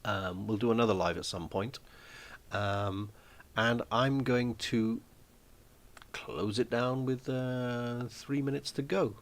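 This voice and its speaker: A man hosting a live stream from his small flat, chatting casually and rambling with lots of ums and restarts. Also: mid-range voice, slow. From Wales.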